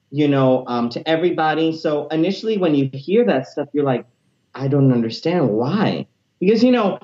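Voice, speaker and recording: Animated man with a high tenor voice. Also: lo-fi sound. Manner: animated, energetic storytelling. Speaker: interview guest, teacher and music minister. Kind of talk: personal testimony interview.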